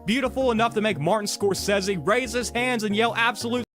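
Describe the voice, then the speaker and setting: A man ranting sarcastically about his frustrations, his voice hoarse from being sick. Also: high-pitched, fairly quick, a bit bright, soft background music. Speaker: content creator. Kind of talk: ranting commentary video.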